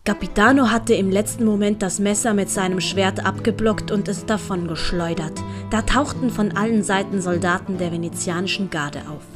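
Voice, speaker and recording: A woman's voice: mid-range voice, normal speed, hardly any pauses. Swiss German woman narrating over prominent music. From Switzerland. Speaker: narrator and author of an audiobook. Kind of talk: scripted storybook narration. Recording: music behind the voice. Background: music and sound effects.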